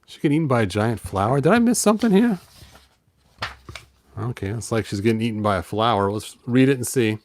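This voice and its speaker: A man's voice: mid-range voice, animated, fairly quick, a little mellow, slight background noise. A man reading a children's story aloud, breaking off for playful, rambling, wry asides in a casual way. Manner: playful, rambling, tosses in sound effects and wry remarks. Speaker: host of a toy and storybook show.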